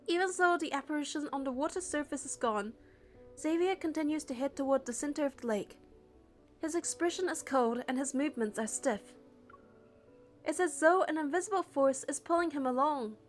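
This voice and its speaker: High-pitched woman narrating a dramatic story. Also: moderate pace, soft background music. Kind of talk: dramatic scripted first-person story narration.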